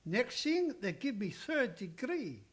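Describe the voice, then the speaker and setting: A man's voice: very high-pitched, sing-song, takes it slowly, husky, heavily acted. A man playing a kindly, grumbling old psychoanalyst who speaks broken English in a live old-time radio play. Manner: broken English with dropped articles.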